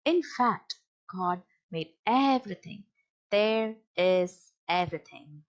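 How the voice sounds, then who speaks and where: A woman's voice: on the low side, expressive, slow. A woman reading a children's Bible story aloud as a storybook narrator. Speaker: storybook reader.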